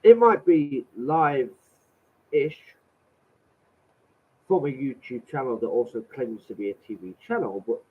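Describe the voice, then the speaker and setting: A man's voice: medium pitch, expressive, slow pace, long pauses, slightly rough. Sarcastic man with a cold. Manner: exasperated, sarcastic, swears. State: has a cold, slightly nasal. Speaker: vlogger reading out a letter. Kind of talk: sarcastic commentary on a letter. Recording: tinny phone-like audio.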